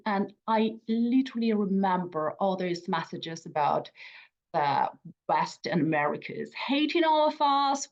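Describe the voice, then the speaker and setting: A Ukrainian woman in her forties, a native Russian speaker, giving a conference talk in a reflective way, with restarts and soft laughs. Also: medium pitch, muffled recording. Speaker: museum speaker.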